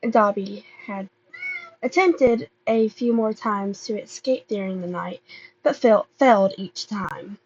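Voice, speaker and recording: A woman reading fan fiction aloud as an amateur narrator, halting and often stumbling over and repeating words. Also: medium pitch, darkish tone, highs cut off, a little hiss or hum. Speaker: amateur podfic reader.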